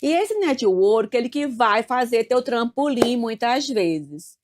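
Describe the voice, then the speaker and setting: A woman's voice: a little high, sing-song, takes it slowly, noisy background. A woman from Northeast Brazil with a Northeastern accent, giving emphatic coaching-style advice as a studio guest and stretching out key words. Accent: Northeastern Brazilian Portuguese. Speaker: guest speaker. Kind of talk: motivational studio talk.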